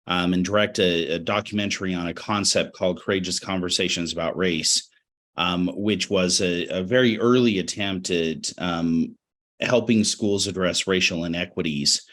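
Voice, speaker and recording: A middle-aged American man with a slightly raspy, cold-affected voice, speaking as an interview guest in a measured, halting, earnest way. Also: low voice, fairly bright tone. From Utah, United States. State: has a cold. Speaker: interview guest, education professional. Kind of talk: reflective webinar interview.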